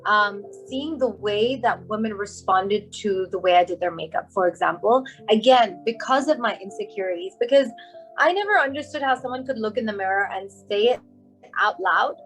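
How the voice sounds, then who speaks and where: A woman's voice: medium pitch, expressive, normal speed, fairly bright tone, soft background music, low-quality recording. Chatty young woman from Hong Kong. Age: young adult. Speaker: guest, makeup artist and brand founder. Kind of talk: casual interview chat.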